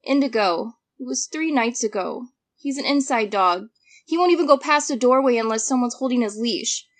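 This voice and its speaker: An earnest girl of twelve, played by a woman has a fairly high voice. She sounds anxious and hesitant, quick to bristle, as a character in an audio drama. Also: lightly acted. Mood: worried, earnest.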